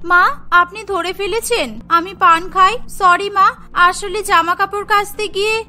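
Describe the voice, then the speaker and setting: A woman voicing a sly, excitable young daughter-in-law in a family cartoon. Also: very high-pitched, a little slow, soft background music, exaggerated voice. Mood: sheepish.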